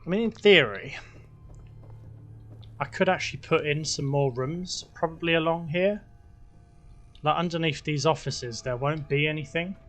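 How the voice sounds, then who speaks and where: A man giving relaxed, casual gameplay commentary, talking to himself as he builds, his voice a little croaky. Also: fairly high pitch, moderate pace, pauses often. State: slightly croaky voice. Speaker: gaming video host.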